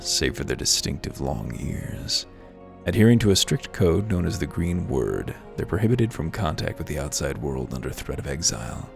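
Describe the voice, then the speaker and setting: A man's voice: rumbling low, gravelly. A man hosting a let's-play, reading game lore aloud and breaking off for dry, wry asides.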